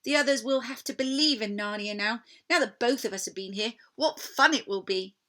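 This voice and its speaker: Woman acting an eager little girl, bright voice. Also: fairly high pitch, brisk, voice pushed up. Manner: earnest, excitable.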